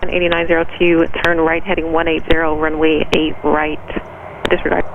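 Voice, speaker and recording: High-voiced male tower controller on tinny radio. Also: monotone, a little fast. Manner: clipped, procedural, matter-of-fact. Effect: aviation radio transmission. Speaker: air traffic tower controller. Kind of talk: radio phraseology, clearances and instructions. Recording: tinny, noisy air traffic radio.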